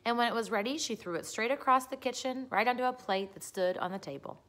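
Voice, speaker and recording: A woman's voice: fairly high pitch, fast, a bit bright. A woman reading a children's book aloud as a storyteller, narrating the story.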